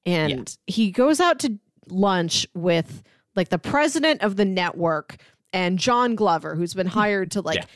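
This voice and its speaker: A woman's voice: medium pitch, animated, measured pace, no room sound, studio quality. A woman co-hosting a film podcast, chatting casually about movies in a wry, joking way.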